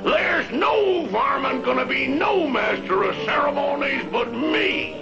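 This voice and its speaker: Screeching ornery cartoon cowboy, high wild yelling, slow drawl, dull lo-fi sound. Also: male voice, big pitch swings, vocal fry, heavily acted. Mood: furious. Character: raucous hot-tempered cowboy man, bellowing. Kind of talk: classic cartoon voice acting. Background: cartoon music.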